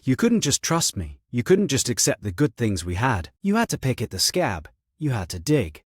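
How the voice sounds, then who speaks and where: A man voicing a cold, controlling, deceitful girlfriend holding a gun in an audio story, flat and menacing in manner. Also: mid-range voice, moderate pace, dry recording, studio quality. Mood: bitter, self-justifying.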